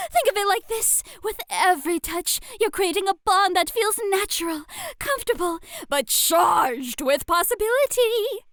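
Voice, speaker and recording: Very high-pitched, playful lady tutor, acted by a woman. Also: sing-song, a little slow, a bit bright, dry recording, clean studio sound, exaggerated voice. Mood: coy, delighted. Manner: theatrical, coy, grandly formal phrasing. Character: playful, eccentric, elegant female flirtation tutor. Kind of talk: ASMR roleplay acting.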